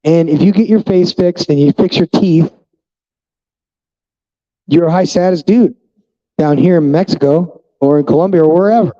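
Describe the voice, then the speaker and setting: A man's voice: on the high side, normal speed, long pauses, dark tone, dull audio. A fifty-year-old man talking bluntly and profanely to his viewers in a casual vlog monologue. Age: 50s. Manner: talks straight to viewers. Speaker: vlogger.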